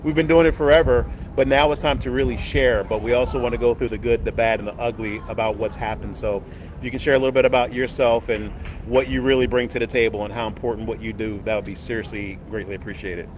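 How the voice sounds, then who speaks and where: A man's voice: mid-range voice, steady tone, fast talker. A man hosting a casual livestream outside a hotel, upbeat and folksy, laughing easily. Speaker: host. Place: outdoors by a hotel on a busy street. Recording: loud outdoor traffic noise.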